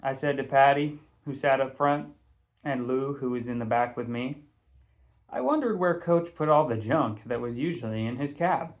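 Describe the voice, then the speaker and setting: A man narrating a first-person audiobook in a conversational, expressive storytelling manner. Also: mid-range voice, normal speed, dull audio. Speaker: teacher reading a novel aloud.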